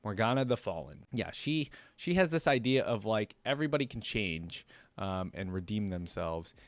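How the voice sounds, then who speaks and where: A man's voice: fairly low pitch, animated, normal speed, no room sound. A man hosting a reaction video, reading stories aloud and adding casual commentary.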